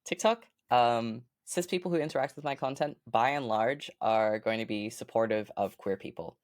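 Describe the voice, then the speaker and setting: A woman with a fairly deep voice, a podcast guest chatting casually and upbeat, with lots of ums and likes. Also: moderate pace, a little mellow, no room sound, studio quality. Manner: chatty, upbeat, many fillers like um and like. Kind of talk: casual interview chat.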